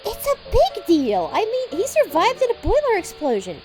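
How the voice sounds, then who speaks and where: A woman's voice: very high voice, wildly swooping pitch, normal speed, over music, full character voice. A woman playing a gushing, gossipy female railway engine in an audio drama, playfully teasing.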